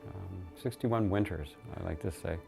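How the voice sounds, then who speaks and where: A man, giving a reflective testimonial in a measured, thoughtful way. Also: deep voice, vocal fry, a little mellow, breathy edge, soft background music.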